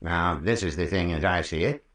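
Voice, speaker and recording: An actor playing a folksy, patient, long-winded country doctor with a rural American accent in an old-time radio drama. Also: male voice, deep voice, a bit bright. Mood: patient recounting. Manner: folksy, unhurried, patient storytelling. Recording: roomy, distant old radio recording.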